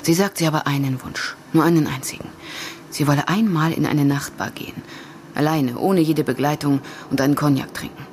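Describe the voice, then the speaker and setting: An actress playing a cool, enigmatic woman in a radio drama, speaking in a measured, quietly teasing way. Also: deep voice, a little hiss or hum, natural voice. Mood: guarded. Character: cool, enigmatic woman in a noir bar, controlled. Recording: music behind the dialogue.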